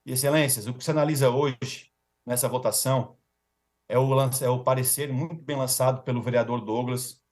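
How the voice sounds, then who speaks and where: A man's voice: mid-range voice, fairly even intonation, fairly quick, darkish tone. A Brazilian man speaking as a defense lawyer in a formal, rhetorical speech with emphatic repetition and ceremonious forms of address.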